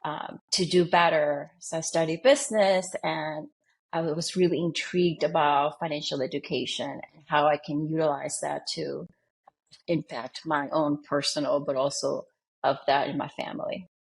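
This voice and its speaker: A woman guest from the Dominican Republic with a husky, breathy, lowish voice. She talks about her life in a measured, reflective way, choosing her words with care. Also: steady tone, rough recording. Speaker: guest, financial planner. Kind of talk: interview storytelling.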